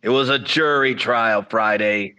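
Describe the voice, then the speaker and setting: A man's voice: on the low side, measured pace, pushed and bright, clean tone. An actor playing a brusque male chief of detectives in a radio crime drama. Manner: brusque, gives orders. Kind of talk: 1940s radio drama acting.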